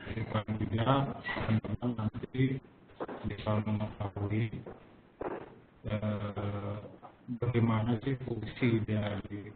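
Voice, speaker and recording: A man hosting a live online trading webinar, talking slowly with many pauses and fillers and a slight cough. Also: on the low side, little pitch movement, noisy background. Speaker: webinar host and forex educator. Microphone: computer mic over webinar. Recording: tinny phone-like webinar audio.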